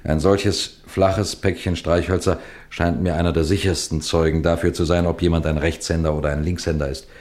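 An actor playing a seasoned, methodical detective chief in a 1960s German radio crime drama, lecturing with deliberate precision. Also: male voice, deep voice, flat delivery, darkish tone, lightly acted. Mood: measured explaining.

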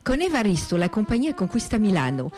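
A woman reads scripted radio narration over faint music. Her voice is medium in pitch, with an animated, varied intonation. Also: fairly quick. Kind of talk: scripted narration and reading of press reviews for radio. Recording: music under the voice.

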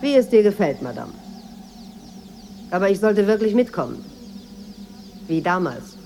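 An actress in a radio drama playing a watchful maid, deferential on the surface but measured and needling. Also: female voice, medium pitch, sing-song, dark tone, slight background noise. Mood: deferential, coaxing. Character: watchful, insinuating Moroccan maid, outwardly deferential, quietly defiant. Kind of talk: 1980s German radio drama acting.

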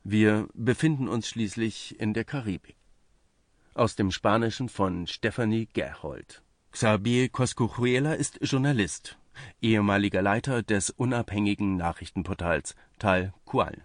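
A man's voice: on the low side, measured pace, no echo, studio quality. A man reading a scripted piece of political journalism aloud as narration. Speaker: reader of a translated news article.

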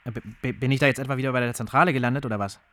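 An actor playing a brash prank caller in a radio drama, bluffing insistently with the odd stammer. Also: male voice, medium pitch, steady tone, racing, a bit bright, natural voice. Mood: feigned annoyance. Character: brash fast-talking prank caller bluffing as senator's aide.

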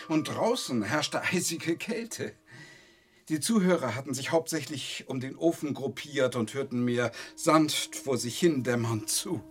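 A fussy, pedantic rambling lecturer. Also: male voice, fairly high pitch. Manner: pedantic, long-winded, self-interrupting. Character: fussy, rambling male travelling lecturer recounting his ordeal. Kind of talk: German radio play monologue.

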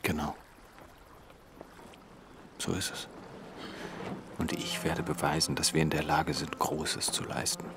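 An actor in a radio drama playing a proud, ambitious young Egyptian engineer, earnest and resentful, in intimate dialogue. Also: male voice, rumbling low, completely flat delivery, slow, creaky, pauses often, noisy background, exaggerated voice. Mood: determined. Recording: music behind the voice. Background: music.

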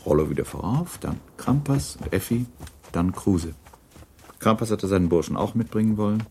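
A man narrating a literary radio drama in a measured storytelling manner. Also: fairly low pitch, lively intonation, a little mellow, slight background noise.

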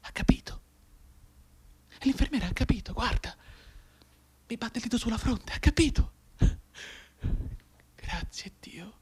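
Husky, anguished maimed war veteran. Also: male voice, very high-pitched, lively intonation, whispered, full character voice. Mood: quiet pleading, then grateful, overwhelmed. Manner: intense, declamatory, halting between hushed and cried-out delivery. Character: maimed, bedridden war veteran, anguished, bitterly sardonic, pleading. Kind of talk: theatrical radio drama monologue.

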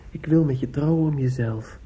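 An actor in a Dutch radio play playing an earnest young suitor, protective and quietly vengeful, probing and insistent with some hesitation. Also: male voice, a little high, normal speed, husky. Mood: bitter, vengeful. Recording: muffled, lo-fi old radio recording.

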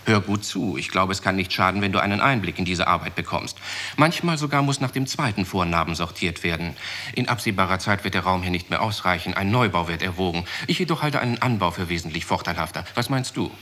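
An actor playing a fussy, officious and eager records clerk in a 1960s radio play. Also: male voice, deep voice, fast, bright, forceful tone. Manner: matter-of-fact, fussy, officious. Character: brisk, pedantic, eager male records clerk.

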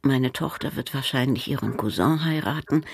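An actress playing a frail, gentle, dying elderly mother in a radio drama, with a weak, breathy voice and a wistful, pleading manner. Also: extremely low pitch, normal speed, no room sound, studio quality, heavily acted.

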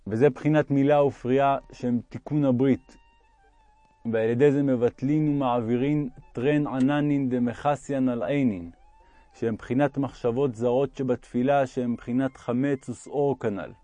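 A man's voice: mid-range voice, steady tone, mellow, no room sound, soft background music. A man reading a religious text aloud as an audiobook narrator.

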